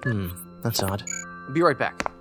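An actor playing an analytical, aloof federal investigator in an audio drama, with a deliberate, cerebral manner. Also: male voice, lowish voice, expressive, music in the background. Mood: pensive.

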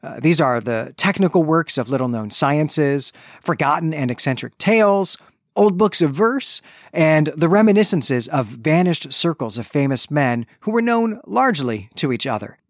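A man's voice: a little high, lively intonation, moderate pace, no echo, studio quality. A man hosting a podcast, giving a conversational, bookish literary recap with wry asides. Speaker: podcast host.

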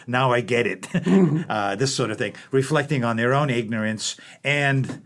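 Low-voiced older man, measured professor. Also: fairly bright tone. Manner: thoughtful, with many fillers and restarts. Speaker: book editor, professor. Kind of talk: conversational interview.

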